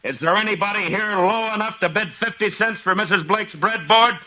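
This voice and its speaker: An American actor playing a firm, wry frontier marshal in a radio western, speaking deliberately and plainly with pointed dryness. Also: male voice, high voice, sharp tone. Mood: quietly pointed. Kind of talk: 1950s radio western acting. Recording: muffled, noisy old radio recording.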